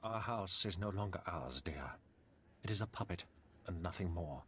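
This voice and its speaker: A man playing a grave middle-aged nobleman and devoted father in a video game, speaking in a solemn, formal, measured way. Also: low voice, lively intonation, background noise. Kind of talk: video game cutscene acting.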